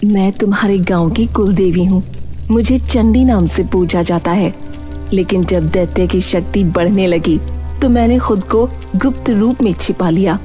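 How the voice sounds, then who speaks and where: A woman's voice: mid-range voice, a little slow, dark tone, soft background music, natural voice. A woman playing a stern, divine guardian mother goddess in a cartoon story, solemn and commanding. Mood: solemn, revealing. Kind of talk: dramatic cartoon story acting.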